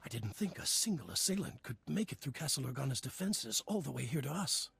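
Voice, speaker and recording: An actor voicing an anxious, pleading servant man in a video game, raspy, creaky and hesitant. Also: mid-range voice, big pitch swings, fairly quick, fairly bright tone, dry recording, heavily acted.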